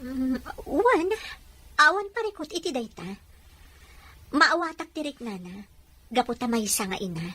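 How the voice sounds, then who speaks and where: Kindly wife role, high-pitched, very animated and questioning drama delivery, played by a woman. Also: unhurried, pauses often, husky, full character voice. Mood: warm, assuring. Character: kindly married woman taking in a baby. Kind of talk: Ilocano audio drama acting.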